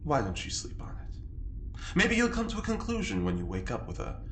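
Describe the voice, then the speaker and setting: A man's voice: mid-range voice, wildly swooping pitch, normal speed, a bit bright. An actor playing a prim, earnest, easily affronted man with a formal, theatrically wounded manner in an audio drama. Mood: measured. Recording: roomy, faint noise.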